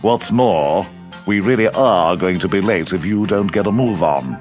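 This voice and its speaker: A man's voice: sits low, a little slow, a little mellow, faint music behind. Man doing a big grumbling storybook bear. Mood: exasperated.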